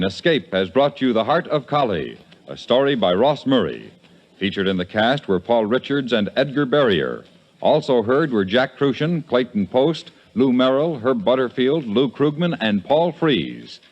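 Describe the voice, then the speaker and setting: A man's voice: medium pitch, very animated, normal speed. A man with an American accent reading scripted credits as a 1950s radio announcer. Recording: dull vintage radio broadcast.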